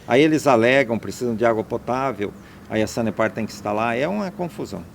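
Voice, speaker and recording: A man's voice: medium pitch, animated, fast, creaky, a little hiss or hum. A Brazilian man being interviewed, speaking emphatically and often checking that he is understood. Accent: Brazilian Portuguese. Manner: emphatic, keeps checking with "entendeu?". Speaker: interview guest. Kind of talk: conversational interview.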